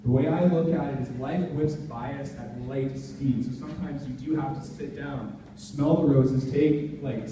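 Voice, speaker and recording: Young man, earnest motivational speaker, high voice, distant mic, clearly noisy recording. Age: young adult. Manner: earnest, emphatic, informal. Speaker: motivational speaker at a student leadership conference. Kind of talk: motivational talk to a live audience. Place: large indoor conference hall. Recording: echoey noisy hall, far from mic.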